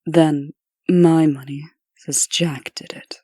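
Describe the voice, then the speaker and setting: A woman's voice: low-pitched, steady tone, slow pace, warm tone, husky, no echo, studio quality, exaggerated voice. A woman playing a serious, determined official in a comic dub, speaking in a precise, earnest and insistent way. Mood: troubled. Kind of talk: comic dub character acting.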